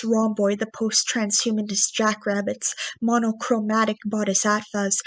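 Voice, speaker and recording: A woman recites poetry at a middling pitch with very little rise and fall. She delivers it in short, separated phrases and occasionally trips on long words. The sound is dry, with no room around the voice. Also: dry recording. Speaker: poet. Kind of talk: poetry reading.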